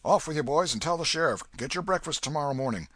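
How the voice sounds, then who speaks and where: Hearty old Welsh farmer, booming and fatherly, voiced by a male reader, animated. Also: fast talker, no room sound. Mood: eager.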